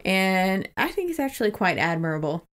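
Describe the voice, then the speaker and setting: A woman's voice: mid-range voice, sing-song, normal speed, a bit bright. A woman co-hosting a podcast, chatting casually about books in an irreverent, freely swearing way. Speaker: podcast co-host. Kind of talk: casual book-discussion chat.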